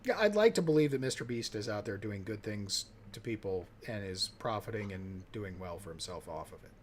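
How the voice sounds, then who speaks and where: A man's voice: lowish voice, expressive, fairly quick. A man chatting casually as a podcast co-host in a blunt, dry, skeptical manner. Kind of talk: casual chat. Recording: faint background ambient noise.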